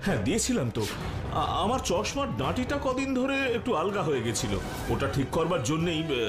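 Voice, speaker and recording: A man voicing a nervous, bewildered gentleman in a cartoon, with a flustered stammer and startled questioning. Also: high voice, very animated, brisk, over music. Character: nervous, bewildered, bespectacled middle-class gentleman. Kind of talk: cartoon dubbing.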